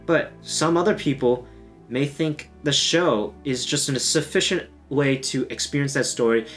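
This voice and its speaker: A man's voice: medium pitch, a little slow, a bit bright, soft background music. A man hosting a gaming video, talking in an informal, self-deprecating, joking way like a casual video essay. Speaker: host of a gaming video channel.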